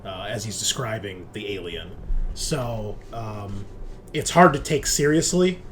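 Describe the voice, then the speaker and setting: A man's voice: lowish voice, lively intonation, unhurried, noisy background. A man running a tabletop roleplaying game, explaining rules and narrating in an informal, salty-tongued way. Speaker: game master.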